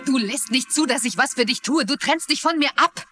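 An actress playing a frustrated, resentful wife in a dubbed TV crime drama, blunt and reproachful. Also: female voice, high-pitched, a little fast, sharp tone, faint music behind. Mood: reproachful, hurt. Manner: reproachful, intense. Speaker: voice actor in a German crime series dub.

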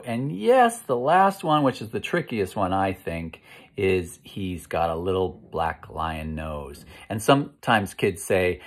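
An American man in his fifties reading a picture book aloud to children in a slow, expressive, encouraging way, pausing to ask them questions. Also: low-pitched. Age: 50s. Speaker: children's read-aloud host. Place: indoor room at home.

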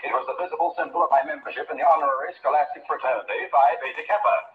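An actor playing a pompous, easily exasperated mayor in 1940s radio comedy, with precise, wordy delivery. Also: male voice, fairly high pitch, racing, crowd noise, natural voice. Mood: condescending. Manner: precise, wordy, increasingly flustered. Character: pompous small-town mayor, exasperated, slow-burning. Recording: noisy, tinny old radio recording, roomy playback.

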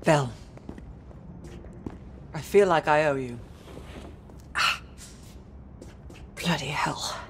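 Low-toned, composed British agent. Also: female voice, very slow, pauses often, a little hiss or hum, exaggerated voice. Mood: weary, sincere. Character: tough, composed British female intelligence agent. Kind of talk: video game cutscene acting.

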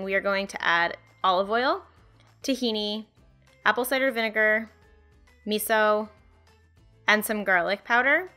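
A woman's voice: medium pitch, sharp tone. A young woman in her twenties, a cooking channel host talking viewers through recipes in a friendly, chatty way from her home kitchen. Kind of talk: upbeat recipe walkthrough.

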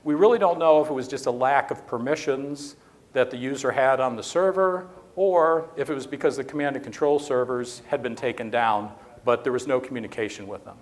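A man's voice: mid-range voice, brisk. A man in his fifties giving a technical talk at a conference, speaking conversationally with many fillers, from beside a podium mic in a conference room. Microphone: podium mic, standing beside lectern.

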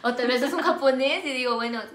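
Bright, chatty young woman from Ecuador. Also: sits high, quick pace. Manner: chatty, laughs often. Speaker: vlog host. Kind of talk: casual chat. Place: living room, on a sofa. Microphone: camera on a tripod. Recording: echoey room.

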